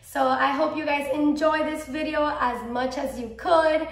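A woman's voice: high-pitched, fairly even intonation, measured pace, reverberant. Bubbly young woman with a Miami Cuban accent. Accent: Cuban-American Miami. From Miami, Florida. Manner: bubbly, enthusiastic, gestures a lot. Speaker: vlogger, medical student. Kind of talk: casual direct-to-camera vlog. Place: small indoor room at home.

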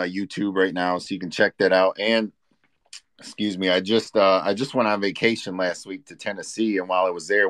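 A man's voice: low voice, fairly bright tone, dry recording, clean studio sound. A man speaking as a rapper guest in a casual, informal interview chat. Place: live online audio room, voice only.

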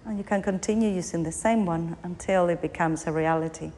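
A woman teaching yoga nidra, guiding a meditation in short phrases with pauses between them. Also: on the low side, dark tone. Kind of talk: guided meditation, body scan narration. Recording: long hall reverb, faint background noise.

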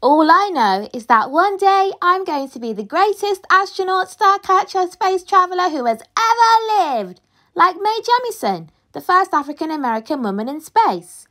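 A woman reading a children's picture book aloud with expressive, dramatic storytelling delivery. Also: very high voice, normal speed. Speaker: storybook reader.

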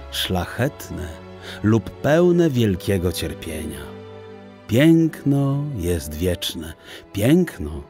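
Slow, expressive male storyteller. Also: lowish voice. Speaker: audiobook narrator. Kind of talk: expressive literary narration of a children's fantasy tale.

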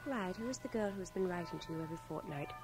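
A woman's voice: on the low side, lively intonation, fast talker, close to an everyday voice. Actress doing a playful, blunt young woman in an old radio play, voice swoops around a lot. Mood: probing, direct. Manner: teasing, direct, articulate. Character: forthright, playful, affectionate young woman, wartime nurse and lover. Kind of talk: 1980 radio drama acting. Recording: cassette transfer of radio drama, faint music.

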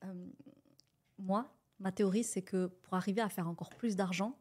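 A woman hosting a casual podcast interview at a medium pitch. She lays out her ideas and asks her guest questions, backing him with steady murmurs of agreement. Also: moderate pace. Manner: encouraging, frequent listening sounds. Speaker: podcast host.

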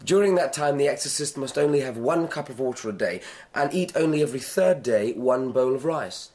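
A man acting a fussy, flattering courtier in a radio play. His pitch is middling but swings about in a very animated way. He dithers and hesitates obsequiously. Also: fairly quick, close to an everyday voice. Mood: obsequious, dithering. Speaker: actor.

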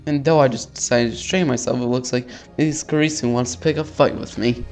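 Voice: medium pitch, fairly even intonation, fairly quick, a little mellow, soft background music. A let's player reading visual novel text aloud in an informal way, stumbling over words and dropping in casual asides.

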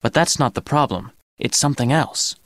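A man's voice: mid-range voice, normal speed, fairly bright tone, dry recording, clean studio sound, close to an everyday voice. A man playing an inquisitive, serious teenage boy in audiobook dialogue, questioning and intent. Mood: excited.

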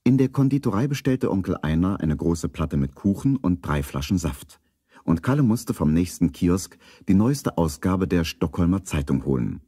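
A man narrating a children's story in a calm, scripted 1970s radio play style. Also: low voice, normal speed, slightly rough, no echo, clean studio sound, full character voice.

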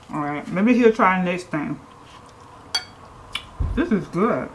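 A woman's voice: mid-range voice, slight background noise, low-quality recording. A woman in her forties chatting casually while she cooks in a home kitchen, rambling with fillers and restarts. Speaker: cooking vlogger. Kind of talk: casual cooking chat.

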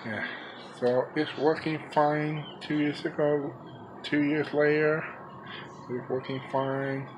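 A man with a fairly high voice presents his collection in an unscripted home video. He speaks slowly, in halting fragments, and there is some background noise on the handheld recording. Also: little pitch movement. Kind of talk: unscripted product showcase vlog. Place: small room by a window. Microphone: handheld camera, speaker off screen. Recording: background noise, homemade handheld camera audio.

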